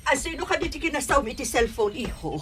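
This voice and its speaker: An actress playing an anxious, excitable mother of grown children in a radio drama. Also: high voice, fast talker, faint music behind. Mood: flustered, alarmed. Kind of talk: radio drama acting in Ilocano.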